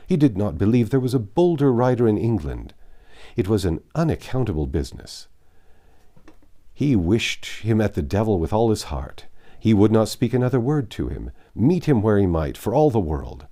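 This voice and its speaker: A man voicing a blustering, indignant country gentleman in an emphatic, exclamatory way, in an audiobook of a classic novel. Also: lowish voice.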